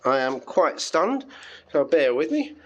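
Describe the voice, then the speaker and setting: A man's voice: fairly high pitch, sing-song, a little slow. An Australian man giving a casual, chatty hands-on tech demonstration, thinking aloud as he handles his gear. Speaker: amateur radio hobbyist presenting a demonstration.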